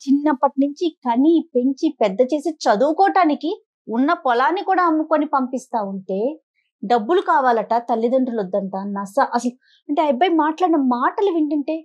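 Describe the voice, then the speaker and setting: A woman co-hosts a phone-in talk. She has a high voice and talks fast, giving blunt, reproachful remarks. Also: animated, darkish tone, highs cut off. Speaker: co-host.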